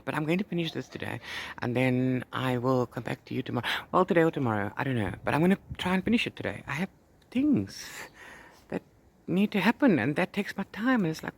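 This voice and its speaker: A man chatting casually as a book vlogger, rambling and repeating words and phrases for emphasis. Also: a little high. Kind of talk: casual chat to camera about reading. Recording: clear background noise.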